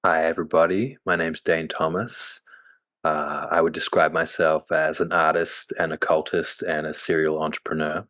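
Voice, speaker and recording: Panel guest, hoarse, extremely low and flat voice, dry sound. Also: male voice, moderate pace, dry recording. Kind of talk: casual self-introduction.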